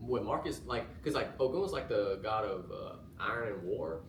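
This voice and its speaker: A man's voice: lowish voice, animated, moderate pace. A young man, a student actor, talking eagerly and casually about his role, with "you know" fillers. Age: young adult. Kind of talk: casual chat about rehearsals. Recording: roomy, distant mic, noisy.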